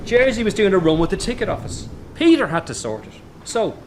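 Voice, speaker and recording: A man's voice: high voice, big pitch swings, fairly quick, a little hiss or hum. A man playing a weary, irritable middle-aged circus boss in a radio drama, speaking in a curt, exasperated way. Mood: accusing, irritated. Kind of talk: naturalistic radio drama acting.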